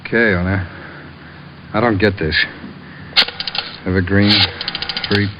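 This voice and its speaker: An American actor playing a shifty, slangy gangster club boss in an old radio crime drama, blustering and tough but jumpy. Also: male voice, low-pitched, steady tone, slow. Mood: nervous. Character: shifty tough gangster nightclub boss, nervous under threat. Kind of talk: 1940s-50s radio crime drama acting. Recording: dull noisy old radio recording.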